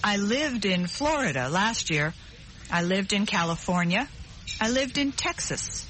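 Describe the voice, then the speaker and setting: A woman's voice: mid-range voice, big pitch swings, unhurried, a bit bright, low-quality recording. A woman playing a light, chatty waitress in a scripted language-course dialogue.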